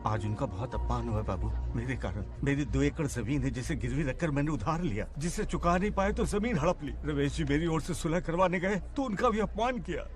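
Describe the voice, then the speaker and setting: A man's voice: fairly high pitch, lively intonation, fairly quick, few pauses, soft background music, close to an everyday voice. A man voicing a wronged, humble villager in dubbed film dialogue, remorseful and plaintive. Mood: aggrieved, remorseful.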